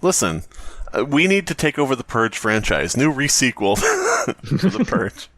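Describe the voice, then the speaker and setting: A man's voice: medium pitch, wildly swooping pitch, a little slow, fairly bright tone, no echo. A man co-hosting a podcast with crude, profane comedic riffing in a casual chat. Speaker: podcast co-host.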